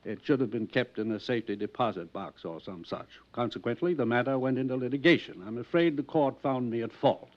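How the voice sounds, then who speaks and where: An actor playing a wealthy, courteous mansion owner in a 1950s radio drama, speaking smoothly and guardedly. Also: male voice, mid-range voice, a little fast, few pauses, natural voice. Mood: polite. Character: wealthy polished mansion owner, male, middle-aged. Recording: dull old radio transcription.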